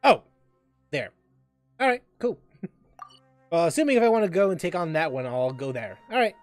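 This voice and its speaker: A man's voice: fairly high pitch, lively intonation, moderate pace, a little mellow, dry recording, soft background music. Chuckling man muttering over his gameplay. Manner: rambling, self-talking, chuckling, repeats himself. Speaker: gaming streamer. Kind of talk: casual gameplay commentary.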